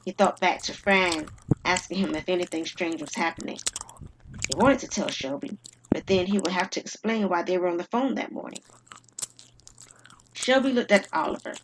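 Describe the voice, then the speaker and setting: A woman storyteller reading a scripted dramatic tale with measured, suspenseful phrasing. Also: on the low side, slight background noise, poor audio. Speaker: storyteller and performer.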